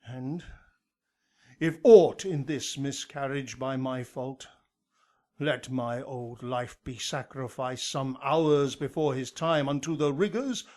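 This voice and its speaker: An actor playing an anguished old friar, declaiming Shakespearean verse in a radio drama. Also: male voice, medium pitch, darkish tone, natural voice. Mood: grave, anguished. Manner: declamatory, measured, dramatic swells and drops.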